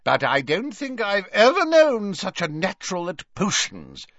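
A man voicing a jovial, tipsy older male professor in an audiobook, booming and effusive. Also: wildly swooping pitch, unhurried, fairly bright tone, no echo, dull audio, exaggerated voice.

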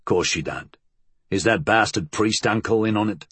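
A huge shaggy old crook as a male narrator plays him, husky and wary. He talks in rough dialect, sizing people up in a dry, close audiobook recording. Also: on the low side, a bit bright, dry recording. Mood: gruff doubt. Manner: rough dialect, slow and appraising.

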